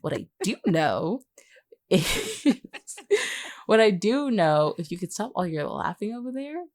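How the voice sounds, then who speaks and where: A woman's voice: mid-range voice, big pitch swings, unhurried. A woman chatting casually in a wry, teasing way as a podcast co-host. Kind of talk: casual behind-the-scenes podcast chat.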